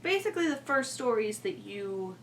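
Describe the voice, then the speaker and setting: A woman's voice: on the high side, sing-song, moderate pace, a bit bright. Young woman chatting casually, slightly distant mic. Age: young adult. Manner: informal, rambling, frequent "like". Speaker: podcast co-host and student writer. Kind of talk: casual conversational explanation. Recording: distant mic, faint background noise.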